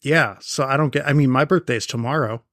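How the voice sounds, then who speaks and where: A man's voice: medium pitch, fairly quick, fairly bright tone, no echo. A man in his late thirties co-hosting a podcast, talking in a dry, sardonic way with grumpy humor. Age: 30s. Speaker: podcast co-host. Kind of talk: casual chat.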